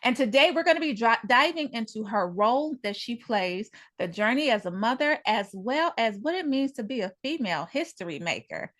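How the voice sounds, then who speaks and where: A woman's voice: a little high, animated, moderate pace, hardly any pauses, a bit bright. A woman hosting an interview show, introducing her guest and putting questions to her.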